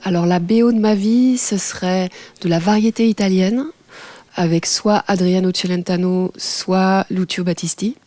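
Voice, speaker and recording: A woman in her forties, a radio journalist guest, answering thoughtfully with many pauses and fillers. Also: on the low side, steady tone. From France. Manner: thoughtful, hesitant with many fillers, warm laughs. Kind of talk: casual interview answers.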